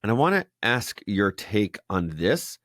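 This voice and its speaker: Man, interviewer, low voice, very slow, measured, dry close sound. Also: sing-song, a bit bright, dry recording. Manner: measured, searching for words. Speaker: interviewer host. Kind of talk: interview question.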